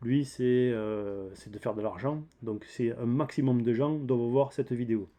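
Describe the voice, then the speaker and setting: A man's voice: mid-range voice, moderate pace, dark tone. A man with a southern French accent talking casually and unscripted as a DIY channel host, explaining things in a hesitant, rambling way. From south of France. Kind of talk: casual unscripted vlog talk.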